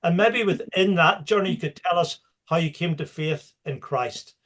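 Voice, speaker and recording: A man hosts an interview over a video call. He is chatty and welcoming and restarts his sentences now and then. His voice sits slightly high. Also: normal speed, a bit bright. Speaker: host.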